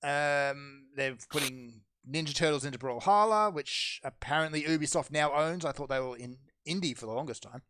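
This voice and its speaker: A man hosting a casual gaming podcast, running through news with a brisk, sardonic manner. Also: on the high side, fairly bright tone, slight background noise. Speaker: podcast host. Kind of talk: casual chat.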